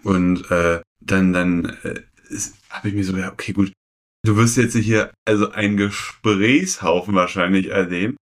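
Young male co-host from Berlin, slightly low voice, loose halting chat with repeated words. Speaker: podcast co-host. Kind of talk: casual chat, anecdotes.

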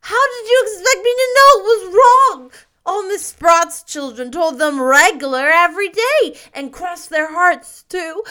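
A woman's voice: very high-pitched, sing-song, a little slow, sharp tone, voice pushed up, heavily acted. A woman voicing an impish, earnest little boy from a storybook, blurting things out frankly in childish, ungrammatical speech. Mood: tearful, remorseful. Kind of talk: storybook character voice.

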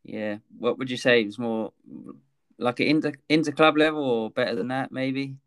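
A man's voice: mid-range voice, normal speed, smooth, dry recording. A man hosting a gym podcast, laid-back and rambling, with many fillers. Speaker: podcast host. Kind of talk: casual interview.

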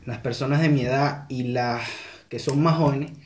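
A man's voice: medium pitch, steady tone, moderate pace, echoey room. A young man from Venezuela with a Venezuelan accent, answering interview questions in an earnest, reflective way. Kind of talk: conversational interview.

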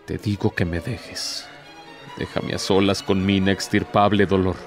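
An actor playing a proud, tormented, bitter devil in a radio drama, speaking in a solemn, theatrical, declamatory way. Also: male voice, low voice, little pitch movement, a little slow, soft background music.

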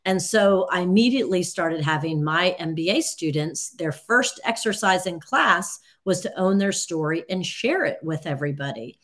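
Expressive woman telling personal stories. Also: lowish voice, moderate pace, hardly any pauses. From United States. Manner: expressive, acts out quoted dialogue. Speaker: interview guest, university teacher. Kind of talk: personal storytelling in conversation.

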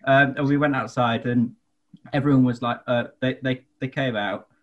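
A man's voice: medium pitch, fairly even intonation, normal speed. Young British man, hesitant storyteller, slightly dull sound. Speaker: podcast co-host. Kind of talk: casual chat, anecdote.

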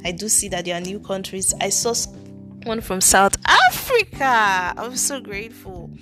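A woman's voice: medium pitch, sing-song, normal speed, bright, forceful tone, soft background music. A woman with a thick Nigerian accent, hosting her own podcast in a chatty, earnest way, with frequent ums and restarts. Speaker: podcast host, immigration consultant. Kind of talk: casual solo podcast talk.

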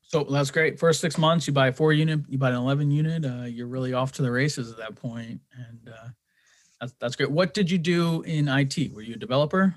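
A man's voice: medium pitch, brisk. A man hosting a podcast, upbeat and enthusiastic, often restarting his phrases as he asks questions. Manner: upbeat, with frequent stammered restarts. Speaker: podcast host.

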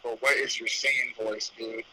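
A man's voice: mid-range voice, level tone, normal speed, crowd noise, low-quality recording. A man teasing his friend in playful, slangy podcast banter. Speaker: podcast co-host.

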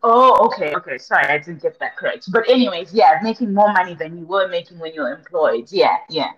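A woman's voice: fairly low pitch, lively intonation, brisk, fairly bright tone. A woman hosting a podcast interview, chatty and loose in her phrasing. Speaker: podcast host. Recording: dull lo-fi audio.

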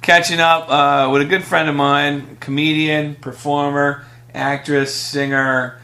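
A man's voice: a little high, level tone, measured pace, bright, forceful tone. A man hosting a casual podcast from a hotel room, chatting loosely with a guest. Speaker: podcast host. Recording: echoey room.

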